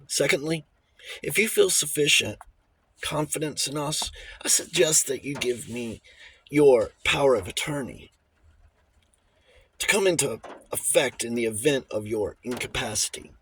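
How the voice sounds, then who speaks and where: A man's voice: medium pitch, unhurried, fairly bright tone, slight background noise, lightly acted. A man voicing a little old bachelor lawyer in an audiobook, formal and precise, shifting between diffidence and relish for a fight. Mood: authoritative, relishing. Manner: hesitant stammers giving way to precise, emphatic pronouncements.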